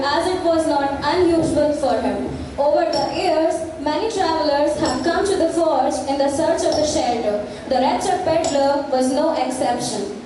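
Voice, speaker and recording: A woman's voice: sits high, warm tone. A young woman giving scripted story narration for a stage play. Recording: echoey hall, distant mic, faint noise.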